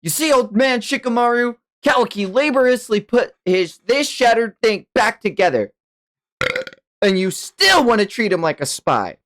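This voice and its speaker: A man's voice: very high-pitched, very animated, moderate pace, fairly bright tone, pitched above the performer's own voice, heavily acted. A man voicing a brash, indignant teenage ninja boy in a manga read-aloud. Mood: pushy.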